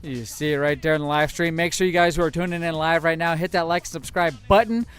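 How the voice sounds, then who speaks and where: High-voiced man hosting a casual game stream. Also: fast, a bit bright, clean tone, soft background music. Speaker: livestream host.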